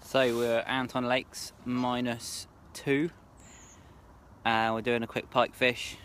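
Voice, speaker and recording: A young man giving brisk, matter-of-fact commentary outdoors by a lake, filmed on a phone at arm's length. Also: medium pitch, level tone, a bit bright. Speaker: angler vlogger. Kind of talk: casual outdoor vlog. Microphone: phone camera at arm's length. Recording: outdoor noise with birds honking.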